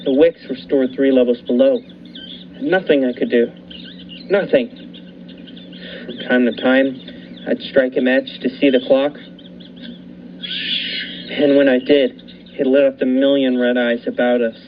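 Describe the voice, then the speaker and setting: A man playing a haunted lighthouse keeper who narrates a radio thriller with dramatic, deliberate pauses. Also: medium pitch, fairly even intonation, lightly acted. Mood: grim, tense. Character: haunted lighthouse keeper recounting his ordeal. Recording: muffled lo-fi recording with faint noise.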